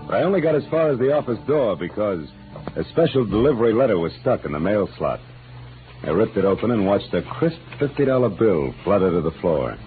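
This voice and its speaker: A man's voice: fairly low pitch, very animated, fairly quick. An American man playing a wry, world-weary private detective in a classic radio noir drama, with clipped delivery. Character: wry, hardboiled male private detective narrating his case. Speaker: lead actor. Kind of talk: 1940s-50s American radio noir acting. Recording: muffled old radio recording with music.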